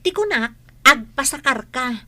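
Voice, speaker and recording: Lady doing a pushy teen student in an audio drama, really high bright voice, always wheedling. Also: animated, a little slow, no room sound, exaggerated voice. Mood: pushy, pleading. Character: pushy, unprepared teenage student wanting to cheat. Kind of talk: amateur audio drama acting.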